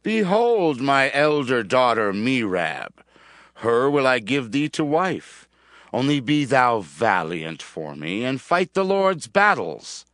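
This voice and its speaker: A man's voice: mid-range voice, very animated, slow, a bit bright, no room sound, clean studio sound. A man playing a jealous, scheming king in a dramatized scripture audio, with a grave, commanding, theatrical delivery. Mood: grandly persuasive.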